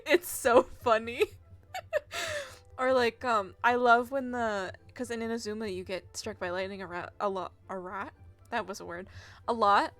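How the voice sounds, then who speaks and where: A woman's voice: a little high, fairly even intonation, normal speed, fairly bright tone, soft background music. A woman game streamer chatting and commenting casually live, in a giggly, rambling way.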